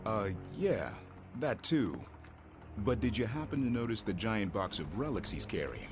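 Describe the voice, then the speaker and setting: An actor playing a cocky, brawny male creature with a wry, deadpan delivery in a video game cutscene. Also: lowish voice, normal speed. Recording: loud music over the voice.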